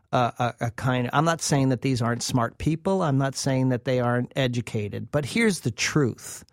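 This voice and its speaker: A man's voice: mid-range voice, dry recording, studio quality. A man speaking as an expert interview guest, explaining in an emphatic way and repeating phrases for weight. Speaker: interview guest, researcher presenting a study. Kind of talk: interview commentary.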